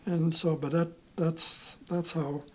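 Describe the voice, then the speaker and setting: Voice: mid-range voice, little pitch movement, takes it slowly, darkish tone. Adult recalling a parent's story, earnest. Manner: earnest, searching for words. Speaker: interviewee. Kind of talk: retelling a parent's story in an interview.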